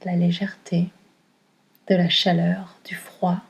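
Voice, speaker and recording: A woman guiding a yoga nidra meditation in a calm, soothing manner, with a soft, breathy delivery. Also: fairly low pitch, a little slow. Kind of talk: guided meditation.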